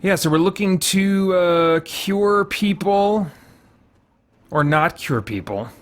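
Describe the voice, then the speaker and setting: A man's voice: high voice, slow pace. A middle-aged man hosting a gaming show, giving casual commentary and thinking aloud in a rambling way.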